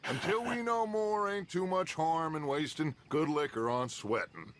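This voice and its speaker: An actor playing a grandiose, wily middle-aged outlaw leader in a video game, with theatrical, ornate delivery. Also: male voice, a little high, measured pace. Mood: wry, commanding. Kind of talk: video game cutscene acting. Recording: loud background ambient noise.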